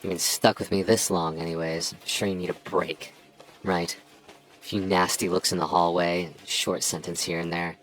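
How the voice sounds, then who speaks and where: Casting: male actor for a young, defensive childhood friend secretly in love; lowish voice, halting earnest delivery, ASMR roleplay. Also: flat delivery, a bit bright, rough recording. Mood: teasing, defensive.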